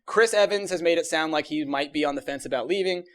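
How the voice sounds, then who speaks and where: A man's voice: fairly high pitch, fast talker, a bit bright. A man co-hosting a podcast, explaining things informally in casual group chat. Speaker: podcast co-host.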